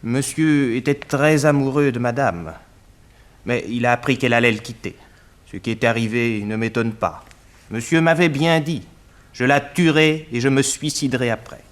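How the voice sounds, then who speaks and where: A man's voice: mid-range voice, moderate pace, lightly acted. A man playing a deferential household servant, formal and matter-of-fact, in a 1960s French radio drama. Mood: sober, factual. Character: deferential male household servant, plain and loyal.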